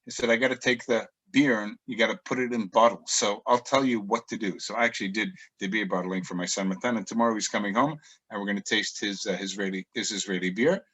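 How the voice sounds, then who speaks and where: A man's voice: fairly low pitch, fast talker, a bit bright, poor audio. A middle-aged man guiding a virtual tour, telling chatty stories with frequent ums as he works through his slides. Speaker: tour guide.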